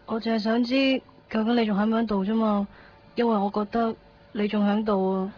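A woman's voice: medium pitch, little pitch movement, brisk, soft background music, close to an everyday voice. An actress playing a hurt, insistent young woman in a radio drama, speaking plaintive Hong Kong Cantonese. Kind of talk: 1990s radio drama dialogue. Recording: muffled 1990s radio drama recording.

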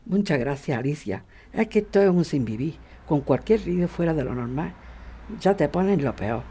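A woman acts as a worn-down grandmother in a radio play. Her voice is low, dark and husky. She speaks with weary, anxious worry. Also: lively intonation, normal speed. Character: worn-down, worried working grandmother facing housing trouble. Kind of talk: acted radio drama dialogue.

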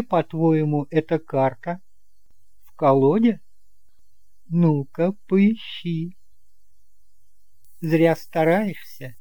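Guy acting a sincere young man in an audio play, raised voice pitch, gentle but emphatic, dry sound. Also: no echo, lo-fi sound. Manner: earnest, emphatic. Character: earnest, principled young man, gentle and resolute.

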